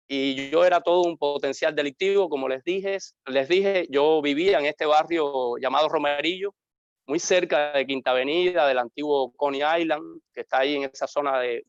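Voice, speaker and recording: A Cuban man, a pastor, telling his life story in an interview in an earnest, reflective way, with pauses. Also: on the high side, clear, resonant tone, no room sound, dull audio. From Cuba. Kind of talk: interview, personal testimony.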